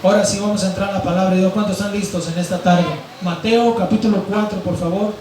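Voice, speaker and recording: Hoarse pastor in an echoing hall. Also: male voice, sits high, fairly even intonation, quick pace, darkish tone, a little hiss or hum. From Mexico, grew up in Mazatlán. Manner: rambling, fills with "eh" and "¿verdad?". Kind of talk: sermon. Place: large echoey church hall. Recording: very echoey hall from far off.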